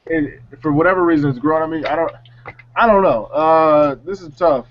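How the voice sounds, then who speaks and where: A man's voice: on the high side, moderate pace, slight background noise. A man chatting casually on a music talk show panel, hesitant and self-mocking. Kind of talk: casual chat. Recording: dull lo-fi sound.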